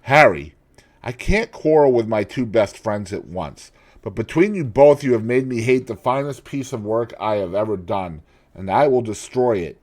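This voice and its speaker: A man's voice: fairly low pitch, lively intonation, normal speed, darkish tone. A man doing an earnest devoted painter. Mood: resolute.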